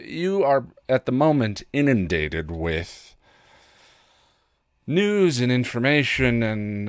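A man with a cold talking casually and haltingly, with self-deprecating asides, as a solo podcast host. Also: lowish voice, dry recording, clean studio sound. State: congested with a cold.

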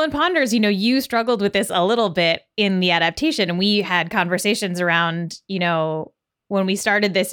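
A woman hosts a podcast interview in an easy conversational register. Her voice sits at a middle pitch, and her phrasing is informal, peppered with "like" and "you know". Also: fairly bright tone. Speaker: podcast host.

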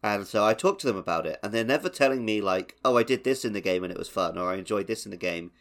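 A man's voice: on the low side, expressive, fast, a bit bright. A man co-hosting a podcast, chatting casually about video games. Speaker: podcast co-host. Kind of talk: casual video game chat.

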